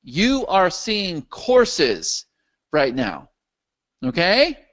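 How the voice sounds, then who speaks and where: A man hosting a live question-and-answer video call for his software's users. His voice is worn from a long day of talking, and he keeps checking that his listeners are following. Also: sits high, very slow. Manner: animated, checks in with the audience often. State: losing his voice. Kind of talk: live webinar Q&A with screen share.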